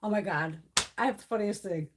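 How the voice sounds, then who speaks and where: A woman's voice: mid-range voice, a little fast, background noise. A middle-aged woman from Connecticut hosting a beauty live stream, telling stories in a casual chat, swearing freely and laughing often, her voice starting to wear out. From Connecticut, USA.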